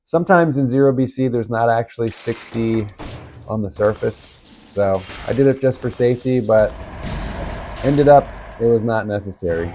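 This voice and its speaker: Sick man with a raspy voice. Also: moderate pace, faint music behind. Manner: relaxed, low-key play-by-play with occasional coughs. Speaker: gaming video host. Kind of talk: casual game commentary.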